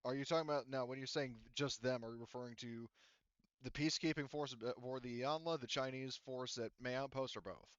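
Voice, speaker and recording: A man's voice: mid-range voice, fairly even intonation, a little fast, no echo, dull audio. A man running a tabletop roleplaying game, rambling along with lots of fillers and restarts. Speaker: game master.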